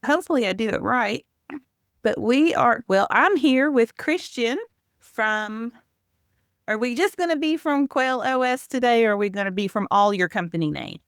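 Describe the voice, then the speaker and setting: A woman's voice: fairly high pitch, animated, moderate pace, dry recording, clean studio sound. A woman hosting a podcast, chatting informally and teasing her guest in a self-deprecating way. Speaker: podcast host. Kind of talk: casual interview chat.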